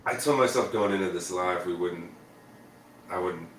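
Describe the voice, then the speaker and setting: A man's voice: low-pitched, moderate pace, a little hiss or hum. A man chatting as a guest on a live show, dry and sarcastic, heard from a distant, echoey room. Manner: sarcastic, deadpan. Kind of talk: casual chat.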